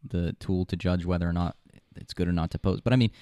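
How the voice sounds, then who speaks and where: A young man with an American accent, co-hosting a talk show with informal, opinionated, halting chat. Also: deep voice, fairly even intonation, mellow, dry recording, studio quality.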